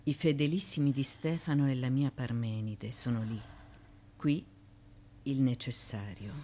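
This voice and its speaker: A woman acting a proud, desperate ancient Greek woman in a theatrical radio drama monologue, speaking with deliberate intensity and questioning herself. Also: rumbling low, fairly even intonation, dark tone, a little hiss or hum. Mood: grim resolve.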